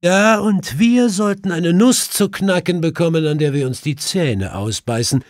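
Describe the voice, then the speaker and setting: A man's voice: sits high, a little slow, no room sound, clean studio sound, voice pushed up. A man voicing a clever, self-assured male detective who explains things precisely in an audiobook. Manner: precise, explanatory, faintly mischievous.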